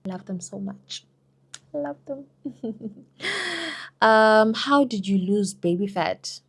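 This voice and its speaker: A woman's voice: medium pitch, lively intonation, takes it slowly, creaky. A young South African woman answering viewer questions in a casual, chatty vlog, often saying "girl" and "you know". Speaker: vlogger.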